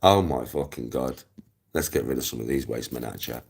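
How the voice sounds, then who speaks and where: A man's voice: a bass voice, fairly quick, gravelly, breathy edge. A man in his forties with an English accent, ranting casually on a livestream, bluntly and with plenty of swearing, hammering points home by repeating them. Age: 40s. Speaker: live streamer.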